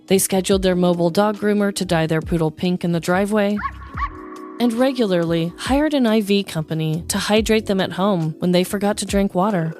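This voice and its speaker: A woman's voice: medium pitch, a little fast, darkish tone, soft background music. An American woman narrating a scripted comedic true-crime story as a podcast host.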